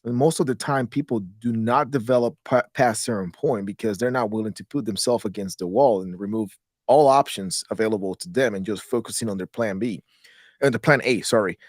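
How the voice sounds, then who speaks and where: A man's voice: on the low side, fairly quick, no echo, clean studio sound. A man in his thirties from Guatemala, a guest in a podcast interview, talking in a loose, rambling way with many restarts. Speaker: guest, real estate investor.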